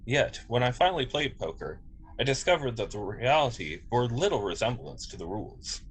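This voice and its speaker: A man playing a polite, logical android officer in a science fiction table read, speaking formally and precisely with calm dignity. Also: medium pitch, a little fast, natural voice, poor audio. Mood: calm, explanatory. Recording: clear background noise, room ambience.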